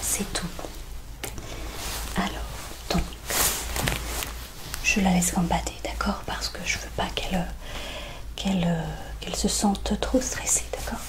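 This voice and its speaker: A woman doing a soft-spoken, whispered ASMR roleplay as a veterinarian, speaking gently and reassuringly with hesitant pauses. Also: lowish voice, fairly even intonation, rough recording.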